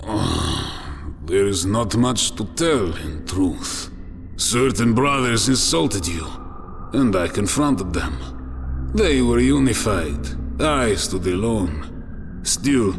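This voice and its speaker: An actor playing a wounded, loyal transhuman warrior in a dark sci-fi audio drama, measured, sardonic and weary. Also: male voice, lowish voice. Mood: wry, weary. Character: wounded, wry, loyal transhuman warrior, male, bitterly proud. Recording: long hall reverb over music.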